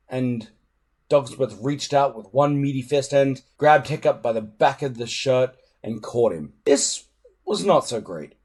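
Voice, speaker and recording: A man reads a children's story aloud with dramatic flair. His mid-pitched voice swings widely and expressively from phrase to phrase. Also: breathy edge. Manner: dramatic, animated. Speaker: storybook reader.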